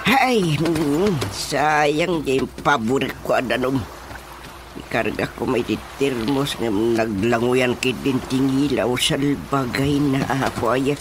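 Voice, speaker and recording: A man's voice: mid-range voice, takes it slowly, background noise, lightly acted. A man playing a grumbling old husband in a comic radio drama, muttering to himself with frequent exclamations and hums. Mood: musing, fussy.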